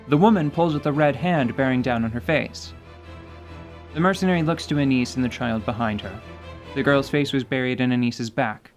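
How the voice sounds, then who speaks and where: A man giving dramatic, scripted narration for a fantasy radio play. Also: medium pitch. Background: music.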